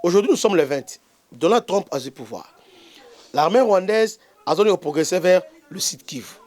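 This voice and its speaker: A man's voice: high-pitched, expressive, a little mellow. A man with a Congolese French accent, a bishop hosting his own channel, giving an impassioned political commentary full of hesitations and stray Lingala words. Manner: halting, many fillers and restarts, mixes in Lingala.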